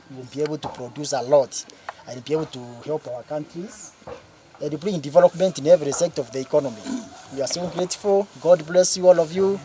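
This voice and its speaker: A man speaks in a slightly high, mellow voice, earnestly thanking and urging on a group. There is faint noise in the recording. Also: rough recording. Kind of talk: informal thank-you speech.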